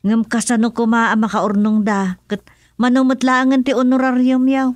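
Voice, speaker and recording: A woman's voice: fairly high pitch, little pitch movement, moderate pace, no echo, lightly acted. A woman playing a sensible, confiding villager in a comedic radio drama. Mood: reasoning. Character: sensible villager, passing on rumors yet cautioning fairness.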